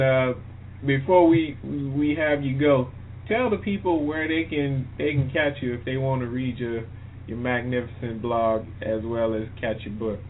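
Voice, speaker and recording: Male American interview host, medium pitch, relaxed chat format, word-repeating delivery, tinny internet radio sound. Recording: phone-like internet radio line, faint noise.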